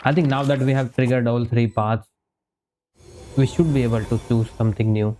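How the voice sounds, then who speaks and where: A man's voice: medium pitch, fairly even intonation, moderate pace, dark tone, a little hiss or hum. A man streaming a story game, casually commenting in a wry, weary way and talking back at the game. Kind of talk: casual let's-play commentary. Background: game music.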